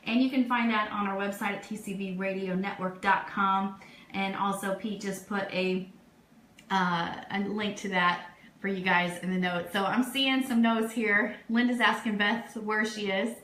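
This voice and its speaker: A woman's voice: mid-range voice, steady tone, a little slow, fairly bright tone. A woman hosting a casual live webcast, chatting informally through news for her listeners. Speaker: radio show host. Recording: roomy echo, faint background noise.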